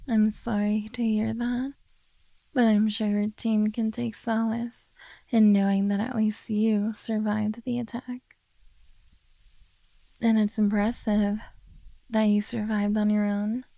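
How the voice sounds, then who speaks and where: A woman playing a possessive, coaxing beastkin monster girl in a soft, teasing ASMR roleplay. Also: medium pitch, vocal fry, dry recording.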